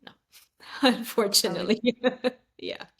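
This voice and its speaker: A woman's voice: medium pitch, slow, a bit bright. A woman in her thirties, raised in Saudi Arabia with Arabic as her first language, talking casually as a podcast guest, with many fillers, restarts and easy laughs. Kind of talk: casual interview chat.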